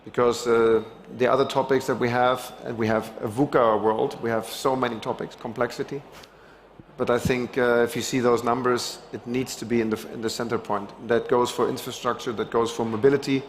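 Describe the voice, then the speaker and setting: A man's voice: medium pitch, little pitch movement, fairly quick, a little hiss or hum. A middle-aged man speaking on a conference panel, with many fillers and restarts. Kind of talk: panel discussion. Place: large conference stage.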